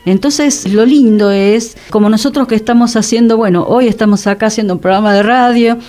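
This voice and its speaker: A woman's voice: fairly high pitch, brisk, dark tone, faint music behind. A woman with a Rioplatense Argentine accent, talking casually and earnestly as co-host of a radio show.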